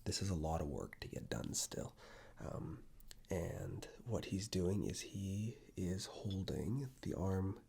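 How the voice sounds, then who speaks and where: A man with a deep, gravelly voice speaks very breathily in a hushed ASMR style. He rambles softly to the viewer, often slipping into a whisper. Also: expressive, slow pace. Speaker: ASMR video creator and host. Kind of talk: ASMR, soft-spoken personal ramble to camera.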